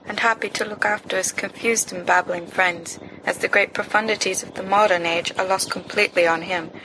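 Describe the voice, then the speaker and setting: A woman reading fan fiction aloud as an amateur narrator, in an expressive storytelling style. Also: on the low side. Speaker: volunteer audiobook reader. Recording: noisy amateur home recording.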